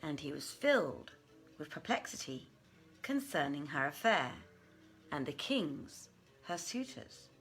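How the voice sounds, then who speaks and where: A woman reading a literary story aloud in a theatrical, deliberate and expressive manner. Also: sits low, soft background music. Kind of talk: dramatic literary story narration.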